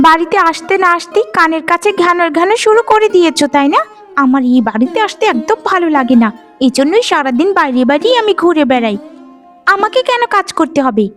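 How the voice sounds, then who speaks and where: A woman voicing a spoiled, spiteful young village sister in a cartoon, with a whiny, haughty, scornful manner. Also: very high-pitched. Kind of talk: animated folk-tale cartoon voice acting.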